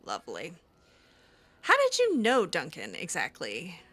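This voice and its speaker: A woman roleplaying aloud with game characters, her bright voice rising and falling a lot. She takes her time and stumbles through ums and restarts, often breaking into giggles. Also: fairly low pitch, wildly swooping pitch, a little slow, slightly rough.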